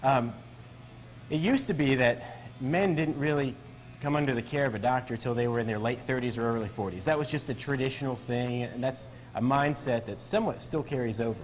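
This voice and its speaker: Man, physician guest, medium pitch, conversational explanatory interview answers. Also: fairly quick, poor audio.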